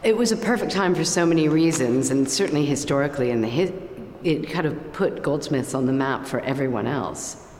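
A woman hosting an interview podcast, her voice croaky and hoarse, giving polished introductions and friendly questions. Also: sits low, animated, a little fast, a little mellow, a little hiss or hum. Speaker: interviewer host. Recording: hall reverb with music behind.

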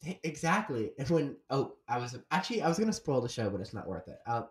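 A man's voice: mid-range voice, very animated, fast. A young man from Florida, a guest comedian in a casual chat, rambling and swearing freely with lots of fillers. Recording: roomy echo.

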